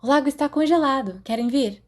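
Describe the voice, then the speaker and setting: A woman's voice: high-pitched, brisk, a little mellow, pitched above the performer's own voice, natural voice. A woman with a Brazilian accent voicing a friendly, forthright, chatty young schoolgirl in an audiobook. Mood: cheerful.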